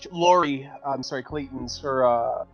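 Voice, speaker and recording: A man's voice: mid-range voice, unhurried, lo-fi sound, faint music behind. A man playing a tabletop roleplaying game, casually talking through his actions and improvising dialogue in a hesitant, self-correcting way.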